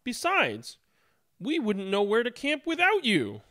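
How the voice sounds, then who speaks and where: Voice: mid-range voice, huge pitch swings, unhurried, bright, forceful tone, voice pushed up, heavily acted. A storybook reader voicing eager, helpful cartoon alphabet letters like childlike schoolmates, with exclaiming, singsong delivery. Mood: encouraging.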